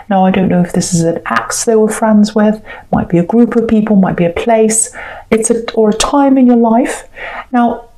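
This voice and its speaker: Mellow-voiced woman giving a tarot reading. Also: mid-range voice, poor audio. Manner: hesitant, reflective, repeats phrases for emphasis. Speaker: tarot reader, host. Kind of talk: intuitive tarot reading, unscripted talk to the viewer.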